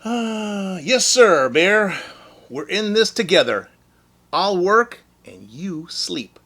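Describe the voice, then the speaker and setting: Man putting on an eager, high-pitched storybook hare. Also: very animated, sharp tone, full character voice. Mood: persuasive. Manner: brisk, persuasive, emphatic. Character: clever eager male hare, wheedling salesman and schemer. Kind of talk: children's storybook character voice.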